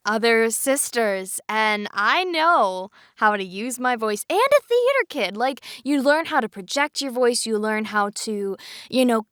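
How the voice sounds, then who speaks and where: A woman voice actor chatting casually in a cast discussion, animated and self-mocking. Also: fairly high pitch, measured pace, clean tone, no echo, studio quality. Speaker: voice actor on an audio drama.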